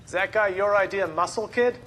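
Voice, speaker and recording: A man's voice: high voice, fairly quick, a bit bright, slight background noise. An actor playing a cocky, menacing 1940s mob boss in a video game cutscene, with needling, slangy tough-guy talk. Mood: smug, menacing.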